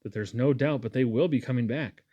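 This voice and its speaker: A humble young working man from a storybook, read by a man. He is earnest, shy and polite, at an ordinary pitch in a dry recording. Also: a little fast, warm tone, no room sound, lightly acted.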